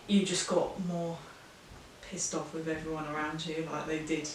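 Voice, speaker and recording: A woman's voice: low-pitched, little pitch movement, moderate pace. A woman of eighteen from Bromley, speaking casually and bluntly. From Bromley, London. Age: late teens. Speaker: interviewee. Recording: very echoey room, distant mic, faint noise.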